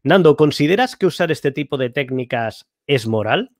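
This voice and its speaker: A man with a fairly high voice hosts an online event and reads out questions from viewers. He sounds upbeat and friendly. The dry sound comes through a headset mic on a video call. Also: expressive, normal speed, no echo. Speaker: event host. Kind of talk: live stream Q&A hosting.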